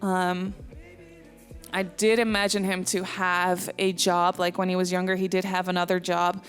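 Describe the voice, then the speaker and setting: A young woman with a Dutch accent, chatting casually over a game build, with frequent ums and soft laughs. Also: fairly low pitch, level tone, moderate pace, faint music behind. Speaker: Sims builder and YouTuber.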